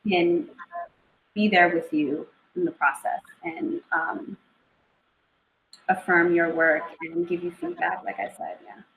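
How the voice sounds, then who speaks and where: A young woman poet and author talking in a casual interview, thoughtful and unhurried, with many pauses. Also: lowish voice, echoey room, narrow, tinny audio, slight background noise. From Eritrea and northern Ethiopia (family).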